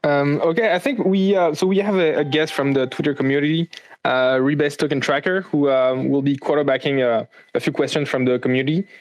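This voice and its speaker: A man's voice: fairly high pitch, steady tone, brisk, no echo, low-quality recording. Man hosting an online call. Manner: loose, filler-heavy. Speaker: host. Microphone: online audio call.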